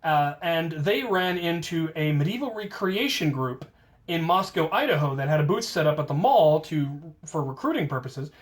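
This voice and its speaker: An American man casually telling stories from his own life, with playful self-deprecation and drawn-out emphasis on key words. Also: on the high side, expressive. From United States. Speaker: storyteller, host of a hobby channel. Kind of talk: casual autobiographical storytelling.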